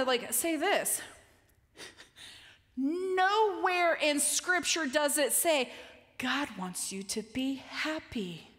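A woman in her forties giving an animated, emphatic chapel talk on a stage, gesturing as she speaks into a headset mic. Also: high voice. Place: large stage in a dark auditorium. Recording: long reverb of a large hall.

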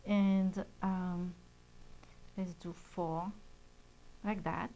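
Woman, middle-aged, soft-spoken, patient tutorial explainer, lapel mic, studio. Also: lowish voice, fairly even intonation, extremely slow, low-quality recording. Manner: soft-spoken, gently explains steps with fillers. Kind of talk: casual show-and-tell and beading tutorial. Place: bright studio set at a table.

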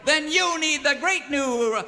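A folksy cowboy radio pitchman with a high, bright voice. The delivery is hearty and theatrical, selling hard in a parody commercial, with long hall reverb around it. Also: slow, full character voice.

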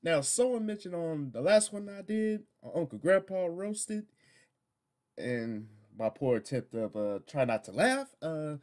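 A middle-aged American man hosting a reaction video in casual, halting, exasperated commentary. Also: fairly high pitch, lively intonation, darkish tone.

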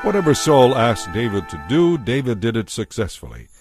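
A man narrating a dramatized scripture story in a measured storytelling manner. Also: medium pitch, big pitch swings, a little mellow, soft background music.

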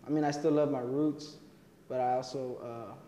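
A man's voice: mid-range voice, moderate pace, roomy sound, big-room sound, slight background noise. A young man from Texas, a college student, answering interview questions casually with lots of fillers and restarts. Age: young adult. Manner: relaxed, many fillers and restarts. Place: indoor room, plain wall.

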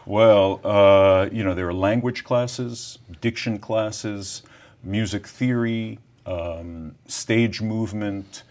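A middle-aged man from Morocco with a very low voice, sitting in a college TV studio with a lapel mic. He tells his story reflectively, with soft laughs now and then. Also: fairly even intonation, takes it slowly. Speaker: guest musician. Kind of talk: relaxed interview answers.

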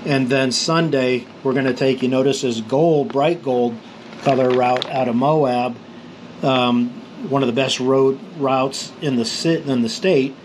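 A middle-aged man from New Mexico calmly explains road maps for motorcycle trip planning, rambling with fillers and restarts. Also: medium pitch, unhurried, slight background noise, low-quality recording. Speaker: motorcycle vlogger.

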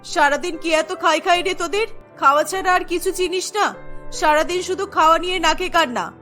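A woman's voice: very high voice, moderate pace, bright, forceful tone. A woman voicing an emotional village female in a melodramatic family cartoon. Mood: exasperated, scolding. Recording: background music bed.

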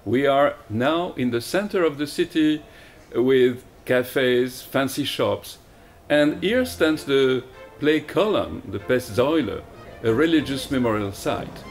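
A man's voice: medium pitch, slow, soft background music. A man presents as program director, introducing guests and asking guiding questions. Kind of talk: presenting and moderating.

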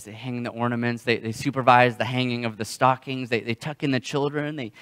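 A young man preaching a sermon as a pastor, telling stories in a halting way and often repeating words. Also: mid-range voice. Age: young adult. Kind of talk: sermon with storytelling. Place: church sanctuary, indoors. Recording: long hall reverb.

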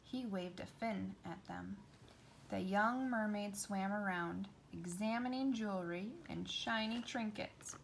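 A woman's voice: mid-range voice, unhurried, gravelly, low-quality recording. A woman reading a children's storybook aloud as a storyteller. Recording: noticeable background noise.

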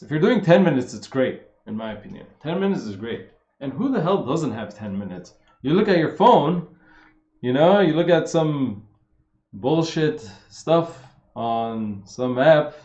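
A man in his thirties from Los Angeles with a slight Armenian accent, a fitness coach answering viewer questions in a relaxed, rambling live chat. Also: mid-range voice, echoey room, muffled recording. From Los Angeles, USA. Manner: relaxed and rambling, with many fillers and swearing. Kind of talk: casual live-stream Q&A.

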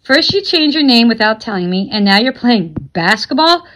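A playful young girl, voiced by a woman reading aloud, teases in a high voice. She is chatty and supportive, a storybook best friend. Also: big pitch swings, moderate pace, fairly bright tone, low-quality recording. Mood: playful, teasing.

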